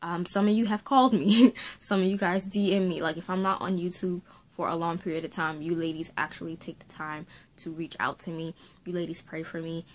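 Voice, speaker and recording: A woman in her late twenties chats to her webcam from a small room at home, voice slightly low. She rambles and talks with her hands. Her throat is still sore from a recent illness. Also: steady tone, a little fast. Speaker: faith and beauty vlogger. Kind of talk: casual chatty vlog to camera.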